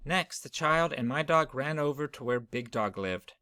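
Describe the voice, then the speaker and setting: Man reading a picture book for kids, bright voice, no room echo at all. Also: mid-range voice, animated, moderate pace, clear, resonant tone. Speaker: storyteller. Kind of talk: children's storybook read-aloud.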